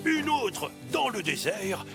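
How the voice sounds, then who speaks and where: An older male truck character from a children's cartoon. The delivery is theatrical and expository, with an animated, widely moving pitch. Loud music plays behind the voice. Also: slow, creaky, heavily acted. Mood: theatrical, mysterious. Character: Sam the pirate and Grandpa Ted, older male trucks. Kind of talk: French children's cartoon acting.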